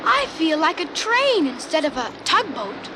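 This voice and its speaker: A woman's voice: high voice, very animated, a little slow, noisy background. A woman voicing a small, childlike toy tugboat in a children's storybook, boastful yet easily worried and given to plaintive exclamations. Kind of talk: children's storybook character acting. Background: music.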